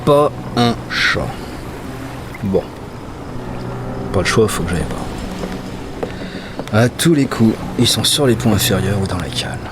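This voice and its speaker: A man's voice: on the low side, expressive, unhurried, pauses often, noisy background. A man playing a curious, uneasy dockworker in an audio drama, wondering aloud to himself. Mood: searching. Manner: talks to himself, exclamatory and wondering. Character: curious, uneasy male dockworker exploring a ghost ship. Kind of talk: audio fiction acting.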